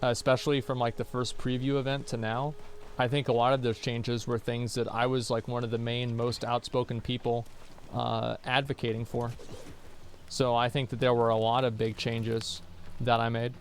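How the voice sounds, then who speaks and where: A man's voice: mid-range voice, little pitch movement, normal speed, a little hiss or hum. A young man streaming a game from home, chatting casually with viewers, answering their questions in a blunt, rambling way. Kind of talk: casual chat with livestream viewers while gaming.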